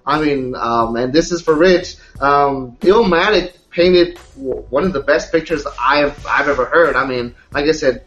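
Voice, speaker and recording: A man's voice: fairly high pitch, unhurried, few pauses, fairly bright tone, dull audio. A man co-hosting a casual podcast debate about hip-hop, rambling with many restarts and repeated "like I said". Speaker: podcast co-host.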